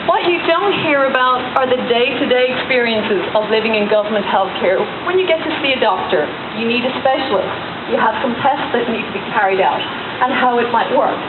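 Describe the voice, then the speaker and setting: A woman's voice: a little high, fairly quick. A woman from Ireland with an Irish accent, giving a rousing speech at an outdoor political rally and putting questions to the crowd. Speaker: rally speaker. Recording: echoey, tinny, loud noise.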